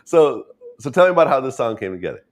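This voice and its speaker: A man's voice: mid-range voice, very animated, fast talker. A man interviewer asking direct, probing questions.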